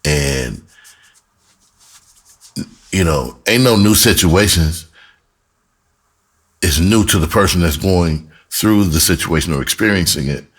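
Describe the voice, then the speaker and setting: Middle aged guy, real low voice, takes his time, candid casual storytelling with some slang and swearing. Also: expressive, slow pace, pauses often, fairly bright tone. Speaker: podcast host and music artist.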